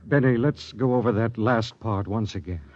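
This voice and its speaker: An actor playing a driven, earnest spaceship captain with an intense, probing manner in a 1950s radio drama. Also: male voice, lowish voice, moderate pace, a little mellow, slight background noise, close to an everyday voice. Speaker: lead actor and narrator. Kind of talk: 1950s radio drama acting, first-person narration. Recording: dull 1950s radio recording.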